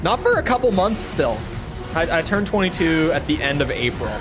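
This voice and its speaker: A man's voice: fairly high pitch, sing-song, moderate pace, music in the background. A man in his early twenties streams a video game and chats casually, rambling and joking at his own expense. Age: early 20s. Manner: rambling, joking and self-mocking. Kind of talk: casual chat while gaming.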